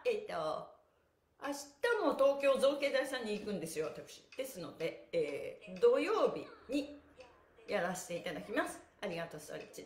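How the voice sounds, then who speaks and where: A middle-aged woman hosting an upbeat live stretching lesson, encouraging and cheerful as she gives cues and chats to viewers. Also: fairly high pitch. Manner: animated, cheerful, encouraging, often laughing. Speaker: stretching instructor and pantomime teacher, live-stream host. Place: small bare room with wooden floor, indoors. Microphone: device mic a few steps away. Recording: echoey room, mic at a distance.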